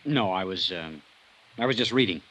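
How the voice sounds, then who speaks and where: A man's voice: deep voice, expressive, moderate pace, fairly bright tone, poor audio. An actor playing an uneasy, defensive young man in an old-time radio drama. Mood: uneasy, hesitant. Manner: hesitant, defensive.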